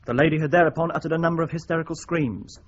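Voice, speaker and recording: A man playing an earnest, formal, deadpan police sergeant in a radio drama. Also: fairly high pitch, racing, lightly acted. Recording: slightly dull radio drama recording.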